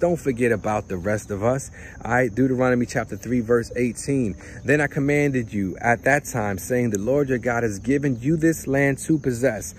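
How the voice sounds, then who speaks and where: A pastor in his thirties preaches by a lake at dawn, filmed at close range on a phone. His voice sits on the low side and his delivery is conversational, with frequent restarts and repeated words. Faint crickets and insects hum behind him. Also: a little mellow. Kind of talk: outdoor sermon.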